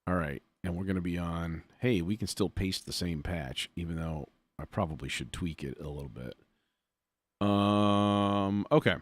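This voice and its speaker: A man's voice: deep voice, normal speed, no echo. A man hosting a casual live music production demo, muttering as he thinks aloud with folksy phrasing. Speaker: music production tutorial host.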